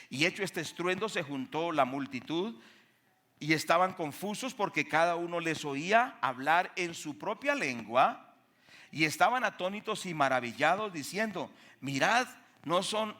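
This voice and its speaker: A man's voice: fairly high pitch, sharp tone. A man with a Colombian accent preaching a sermon emphatically, putting questions to his congregation. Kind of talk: sermon with Bible reading and testimonies. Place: speaking to a church congregation.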